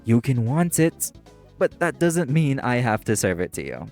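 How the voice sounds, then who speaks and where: A man playing a prim, exasperated waiter in a scripted audio roleplay, speaking formally with deadpan, condescending patience. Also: mid-range voice, moderate pace, soft background music. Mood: stern, formal. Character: prim, exasperated, sarcastic young waiter boyfriend. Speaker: voice actor.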